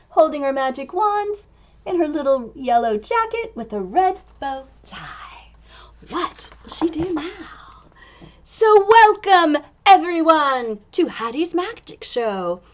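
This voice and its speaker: A woman reading a picture book aloud to young children in an expressive, exclaiming way, drawing out words and dropping into hushed asides. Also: sits high, slow, dull audio.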